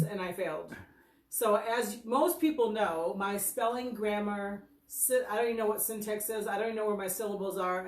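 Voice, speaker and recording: Distant, roomy American woman in her fifties. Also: medium pitch. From Michigan, United States. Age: 50s. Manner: rambling, self-deprecating. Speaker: host of her birthday livestream. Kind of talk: casual livestream storytelling and question answering. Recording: roomy echo, distant from mic.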